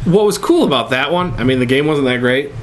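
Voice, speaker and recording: Wisecracking man with a mid-pitched voice. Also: expressive, fairly quick. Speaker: co-host of a video game and movie talk show. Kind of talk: casual unscripted chat. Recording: faint background noise.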